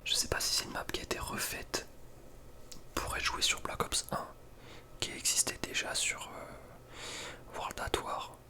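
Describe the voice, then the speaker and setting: A man's voice: unhurried, long pauses, sharp tone. A young man whispering casual ASMR gaming commentary in an informal, slangy, hesitant way. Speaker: ASMR video creator.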